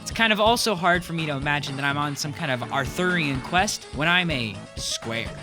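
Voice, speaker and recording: A narrator telling a comedic video essay with wry, animated asides. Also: medium pitch, a little fast, over music.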